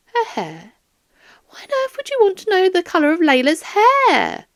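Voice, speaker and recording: A woman's voice: very high-pitched, huge pitch swings, moderate pace, no echo, studio quality, voice pushed up, heavily acted. A woman voicing a flustered, doting older woman who works at a museum, in a rambling, plaintive and baffled manner, for an audiobook. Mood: puzzled, fond. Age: 60s.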